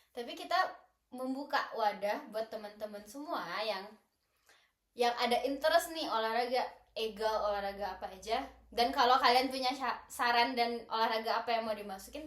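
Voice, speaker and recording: A woman's voice: a little high, a bit bright. A young woman, chatting casually and playfully as a podcast co-host. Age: young adult. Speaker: student association podcast co-host. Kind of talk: casual group chat. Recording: roomy, echoey indoor recording.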